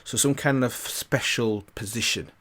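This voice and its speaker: A man's voice: medium pitch, measured pace, a bit bright. A British man giving casual reaction commentary, thinking aloud in a tentative way. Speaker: reaction video host.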